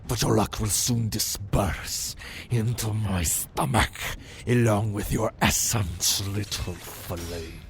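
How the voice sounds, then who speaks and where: A man playing a proud, contemptuous imp of pride in an ASMR fantasy roleplay, gravelly and theatrically scornful. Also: on the low side, slow pace. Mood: contemptuous, menacing. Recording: noisy background ambience.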